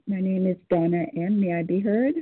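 A woman from Canada, sharing at a phone support meeting in an earnest, hesitant way with many pauses. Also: lowish voice, fairly even intonation, slightly rough, no echo. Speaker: meeting participant. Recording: phone line.

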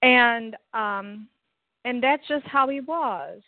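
A woman speaks over a thin, tinny phone line. Her voice sits a little high and dry, and she shares earnestly, stopping often to find her words. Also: no room sound. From Romania. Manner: earnest, searching for words with pauses. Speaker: meeting participant sharing. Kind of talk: personal recovery share. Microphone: phone call.

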